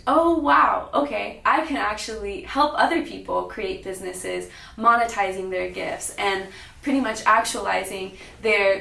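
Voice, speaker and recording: A woman's voice: mid-range voice, creaky, a bit bright. Young american woman giving a personal talk, echoey room, mic kinda far away. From Sacramento, California, United States. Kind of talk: personal storytelling public speech. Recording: roomy, echoing, distant mic.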